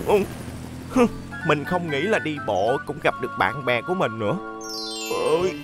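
A performer voicing a secretive, excitable vehicle in a children's cartoon dub, muttering expressively to itself. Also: mid-range voice, normal speed, music in the background, natural voice. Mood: furtive, flustered.

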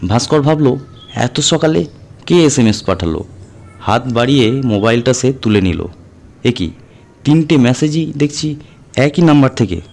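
A man's voice: medium pitch, animated, measured pace, gravelly, long pauses, a little mellow, a little hiss or hum. A man reading a horror audio story as a narrator, in a dramatic scripted style. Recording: music under the voice. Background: background music.

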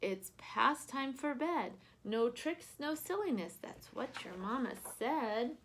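Fretful young babysitter, high and scolding, voiced by a woman reading a picture book. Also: expressive, takes it slowly, a bit bright, slightly rough, exaggerated voice, poor audio.

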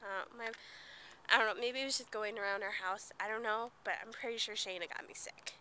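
A woman's voice: medium pitch, fairly even intonation, a little fast, pushed and bright, slight background noise. A young woman with a cold, chatting in a rambling vlog as she films herself outdoors at night, sometimes dropping to a whisper. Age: young adult. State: sick with a blocked nose. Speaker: vlogger. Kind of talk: casual vlog chat. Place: outdoors at night near a playground and parking lot. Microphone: handheld camera at arm's length.

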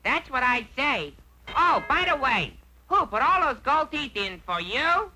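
An earnest young prince from a vintage cartoon. The voice is high and extremely bright, with an aggrieved edge. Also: very animated, a little slow, slight background noise, heavily acted, low-quality recording. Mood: plain, earnest. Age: young adult.

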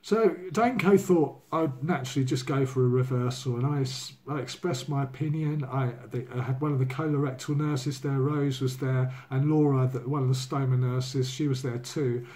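A man in his sixties with a hoarse voice from a cold, talking candidly in a casual video diary from his living room, full of ums and uhs. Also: mid-range voice, normal speed, hardly any pauses, darkish tone. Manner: candid, rambling, many fillers. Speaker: vlogger. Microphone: laptop or phone camera at desk.

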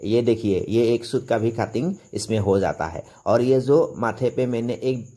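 Young man, medium pitch, informal how-to narration, repetitive step-by-step explaining. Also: normal speed, warm tone, husky. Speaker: carpenter demonstrating on own channel.

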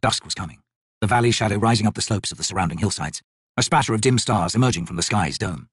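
Male audiobook narrator, dramatic prose reading, animated pitch, slightly rough texture, dry close sound. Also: quick pace, no echo.

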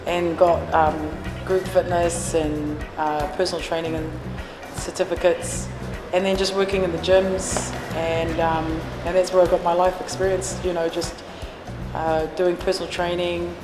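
Earnest low-voiced woman in an echoey café. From New Zealand. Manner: earnest, many fillers and restarts. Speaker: café owner and fitness trainer. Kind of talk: casual interview answers. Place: café, indoors. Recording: echoey room with long reverb and music behind.

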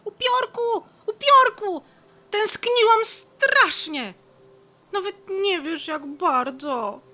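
A woman playing a lively, affectionate, chatty red squirrel in an audio drama, eager and effusive. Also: extremely high pitch, slow, full character voice. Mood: emotional, excited. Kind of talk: audio drama character acting.

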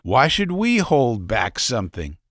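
A man's voice: mid-range voice, wildly swooping pitch, unhurried, fairly bright tone, no echo, clean studio sound, voice pushed up, full character voice. A man reading aloud, voicing an earnest, grateful young girl.

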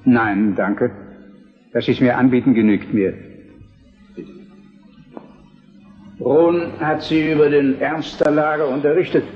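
An older man acting a weary, dutiful king of Prussia in a historical radio drama, grave, measured and formal. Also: medium pitch, steady tone, soft background music, natural voice. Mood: formal, reserved. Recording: dull reverberant radio play recording.

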